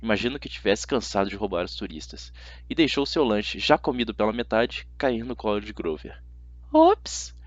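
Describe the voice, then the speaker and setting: A man with a Brazilian accent narrating a first-person story as an amateur audiobook reader, dramatic and expressive. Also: on the low side, brisk, no room sound. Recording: slightly dull, dry audio.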